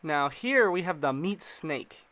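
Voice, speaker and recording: A man with a slightly high voice narrates an explainer video. He keeps it informal and conversational, dropping slang and playful asides into his commentary. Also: lively intonation, measured pace, sharp tone.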